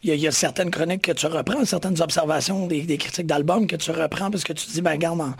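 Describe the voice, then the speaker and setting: Husky, gravelly Quebec French interviewer. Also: male voice, fairly high pitch, no room sound. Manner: enthusiastic, quick, fills in the guest's sentences. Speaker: interviewer, host. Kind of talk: casual interview chat.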